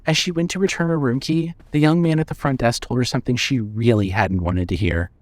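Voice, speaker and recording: A man's voice: medium pitch, brisk, no room sound. A man narrating a scripted story as the narrator of an audio drama.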